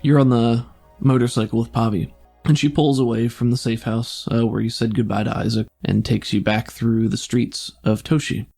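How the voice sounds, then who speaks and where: Gravelly man running a tabletop game. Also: mid-range voice, level tone, moderate pace, warm tone, faint music behind. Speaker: game master. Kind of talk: improvised narration of a tabletop roleplaying game.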